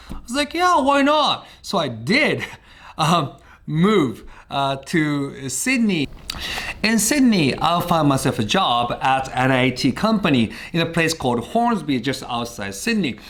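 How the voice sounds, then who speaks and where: A Japanese man in his thirties talks to camera in English with a British-tinged accent, pausing often on "uh." His voice sits fairly high and swings up and down as he talks. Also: a bit bright. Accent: Japanese speaker of English with British traces. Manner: chatty, frequent "uh" fillers, playful. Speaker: vlogger. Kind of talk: casual personal storytelling to camera.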